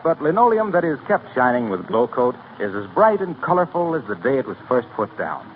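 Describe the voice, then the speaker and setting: A man working as a radio show announcer, reading scripted introductions and sponsor copy in 1940s broadcast style. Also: mid-range voice. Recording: narrow-band 1940 radio recording, faint noise.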